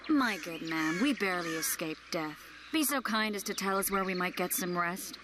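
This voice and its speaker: An actress as a proud sorceress amid loud noise. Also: female voice, lowish voice, expressive, moderate pace, lightly acted. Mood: haughty, sardonic. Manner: indignant, sardonic. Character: proud, sharp-tongued sorceress, drained but defiant. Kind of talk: video game voice acting.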